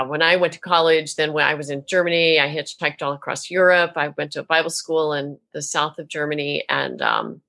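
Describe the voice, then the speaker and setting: Animated woman storyteller with a forceful voice. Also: deep voice. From Tennessee, US. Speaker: guest, author and minister. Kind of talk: casual interview talk.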